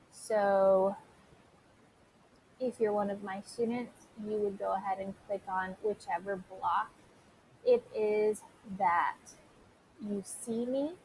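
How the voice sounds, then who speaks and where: A woman teacher giving an informal software tutorial, talking listeners through it step by step and addressing them directly. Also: medium pitch, steady tone, takes it slowly, a little hiss or hum, low-quality recording. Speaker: teacher recording a tutorial for students.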